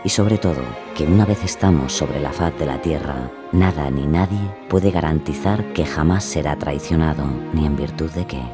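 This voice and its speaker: Male narrator, extremely low voice, solemn measured scripted documentary delivery, music underneath. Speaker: podcast narrator. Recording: music bed behind the voice.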